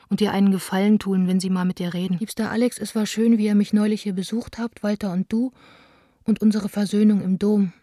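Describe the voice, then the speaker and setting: An actress as a mellow, wounded young woman. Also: mid-range voice, no room sound, studio quality, natural voice. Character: Anna, young East German theatre director, wounded, self-blaming, intimate letter writer. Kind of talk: radio drama, letter read aloud.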